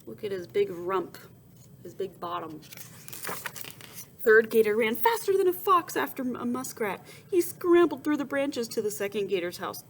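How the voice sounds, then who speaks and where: A woman reading a children's storybook aloud in an expressive, dramatic storytelling manner. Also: a little high, normal speed, slight background noise. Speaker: storybook reader.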